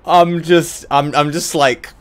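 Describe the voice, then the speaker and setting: A man's voice: on the high side, steady tone, slow pace, clear, resonant tone. A man chatting casually as a player in a tabletop roleplaying game, hesitantly working out his actions aloud. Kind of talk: casual reactive game chat. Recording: faintly noisy live stream.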